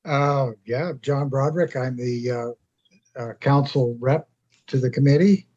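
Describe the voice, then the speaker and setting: Modest man with a husky voice. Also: mid-range voice, steady tone, measured pace, a little mellow, muffled recording. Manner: brief, modest. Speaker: council representative. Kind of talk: casual meeting chat. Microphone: video call.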